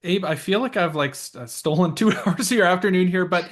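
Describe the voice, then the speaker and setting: A middle-aged man hosting a podcast, earnest and halting, often repeating his words as he thinks aloud. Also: high voice. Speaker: podcast host. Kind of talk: casual interview chat.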